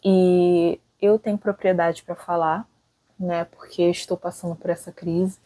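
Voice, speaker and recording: Dark-voiced young Brazilian-born woman musing aloud. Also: lowish voice, level tone, measured pace, no room sound, low-quality recording. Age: late 20s. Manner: chatty, thinking aloud, frequent "né" tags. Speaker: channel host. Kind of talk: casual reflective vlog talk.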